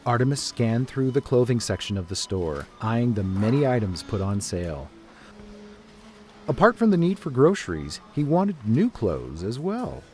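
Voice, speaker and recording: A man's voice: medium pitch, sing-song, normal speed, darkish tone, a little hiss or hum. A man reading scripted story narration as the narrator of an audio drama. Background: music.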